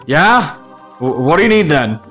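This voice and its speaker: A man voicing a gruff, blunt but helpful big male ogre in a dramatic story reading. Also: fairly high pitch, big pitch swings, unhurried, a bit bright, faint music behind, voice pushed up. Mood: gruff, questioning. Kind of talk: dramatic fan fiction reading.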